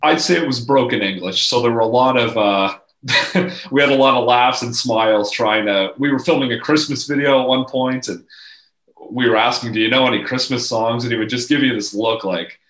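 A man working as a hockey play-by-play broadcaster, telling stories as a guest in a casual interview, animated and enthusiastic. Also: mid-range voice, normal speed, echoey room.

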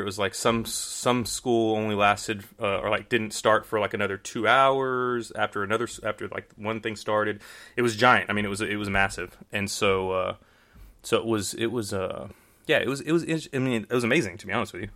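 Texan guy, kinda low voice but bright n punchy, rambles a lot w fillers. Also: moderate pace. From Texas, USA. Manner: rambling, many fillers and restarts. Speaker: magician guest. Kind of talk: casual interview chat.

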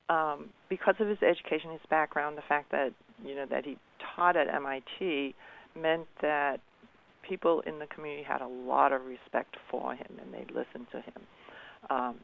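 A woman's voice: deep voice, gravelly. An older woman from Boston giving conversational, anecdotal answers as an interview guest. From Boston, United States. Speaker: interview guest, local historical society member. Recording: thin, phone-like line.

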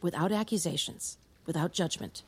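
An actress playing a calm, reassuring couples therapist in an audio drama, measured and gently guiding. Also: female voice, fairly low pitch, slight background noise, close to an everyday voice. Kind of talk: naturalistic audio drama acting.

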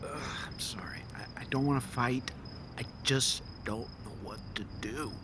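A man's voice: mid-range voice, measured pace, noisy background, natural voice. An actor playing an exasperated, sleep-deprived father in an audio drama, defensive and frustrated. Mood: regretful. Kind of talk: naturalistic audio drama acting.

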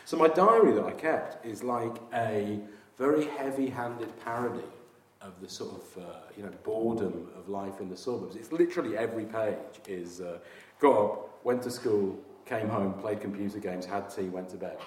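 A man's voice: on the low side, moderate pace. A man in his forties from Yorkshire, chatting as a guest with dry, self-mocking humour and frequent laughs. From Yorkshire, England. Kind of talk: casual chat. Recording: echoey room, mic at a distance, faint background noise.

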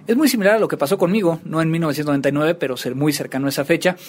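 A man's voice: fairly high pitch, racing. A man hosting an interview podcast in a conversational style.

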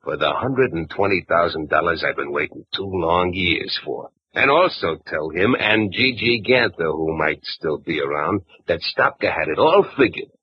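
A gruff radio-play thug, deep and raspy, curt menace, dry muffled sound. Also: male voice, lively intonation, normal speed, hardly any pauses, no echo. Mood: menacing. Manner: curt, threatening, sneering. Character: menacing male crook holding up a detective. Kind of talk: 1940s radio acting. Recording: dull old radio recording.

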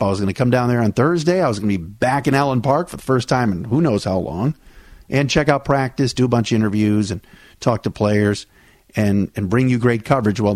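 A man's voice: on the low side, a little mellow, dry recording. Chatty man hosting a podcast. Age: 40s. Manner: chatty, conversational, with frequent "uh" fillers. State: recovering from vocal cord surgery. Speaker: podcast host. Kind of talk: solo sports podcast monologue.

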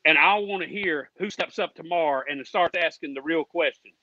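Fired-up, high-voiced American man ranting, muffled audio. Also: moderate pace, pushed and bright, no echo. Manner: combative, indignant, profane; folksy, with "ain't" and "y'all". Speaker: guest caller on a racing fan show. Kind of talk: heated ranting.

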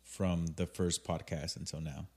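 A man hosting a casual podcast, speaking informally in halting, conversational phrases. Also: deep voice, fairly even intonation, a little mellow. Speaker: podcast host.

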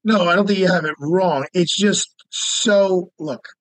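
A man's voice: high voice, a little slow, a bit bright, dry recording. A man, a lawyer guest talking casually and emphatically, often repeating words as he makes his point. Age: 50s. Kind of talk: casual podcast interview.

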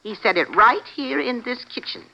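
Casting: anxious middle-aged New mama running a boarding house, bright lively swoops, muffled old radio drama. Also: female voice, a little high, normal speed, gravelly, full character voice. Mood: troubled. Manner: emotional, folksy, fretful phrasing. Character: anxious, motherly boarding house proprietress with a grown son. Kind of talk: 1950s radio drama acting. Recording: muffled vintage radio broadcast.

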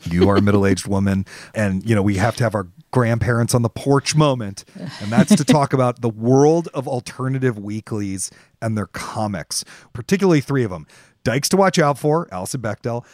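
A middle-aged man talks casually as a podcast co-host. His pitch is average for a man. The sound is very dry, with no room echo. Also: expressive, moderate pace, darkish tone.

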